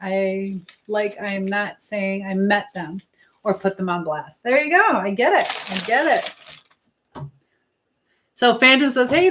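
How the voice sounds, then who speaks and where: A woman hosting a casual live stream while busy in the kitchen, chattily talking to herself and to her viewers and reading out comments. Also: mid-range voice. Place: indoors in a kitchen. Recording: echoey room with clear background noise.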